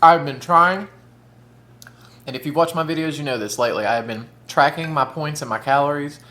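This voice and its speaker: A man's voice: on the high side, a little fast, pushed and bright. Man in his thirties, camp, chatty food vlogger, often talks with his mouth full. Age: 30s. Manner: chatty, camp, says "y'all" and "honey". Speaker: mukbang vlogger. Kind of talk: casual chat while eating.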